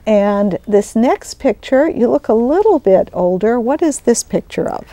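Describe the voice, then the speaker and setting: A woman hosts an interview. Her voice is soft-edged and mellow, recorded with very little room sound. She moves between introductions and conversational questions. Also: a little high, big pitch swings, unhurried, dry recording. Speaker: interview host; college business instructor.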